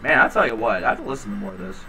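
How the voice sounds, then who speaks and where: A man in his thirties hosting a home livestream, chatting casually with viewers in a rambling, low-key, tired way. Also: sing-song, racing, creaky, bright, forceful tone, recorded from a distance, soft background music. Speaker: livestream host reading chat. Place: small home room indoors. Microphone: headset.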